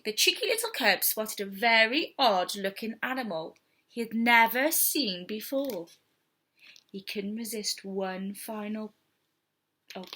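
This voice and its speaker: A woman reading a story aloud to young children in an expressive, theatrical storytelling style. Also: mid-range voice. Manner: theatrical, with whispers and sound effects.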